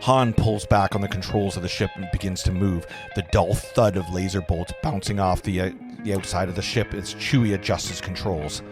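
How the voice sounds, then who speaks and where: Guy with a lowish voice reading stage directions at a table read, earnest, trips up a bit, music playing behind him. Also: steady tone, normal speed, few pauses. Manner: earnest, stumbles and rereads.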